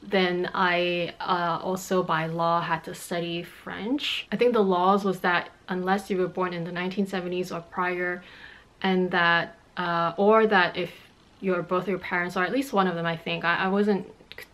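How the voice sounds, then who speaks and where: A woman's voice: fairly low pitch, steady tone, moderate pace, a bit bright. A woman in her thirties from Canada whose first language is Cantonese, chatting through a fashion Q&A vlog at home and laughing often. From Montreal, Canada. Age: 30s. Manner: chatty, explains with hand gestures, laughs often. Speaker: fashion YouTuber. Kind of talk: Q&A vlog chat. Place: bedroom in a home, indoors.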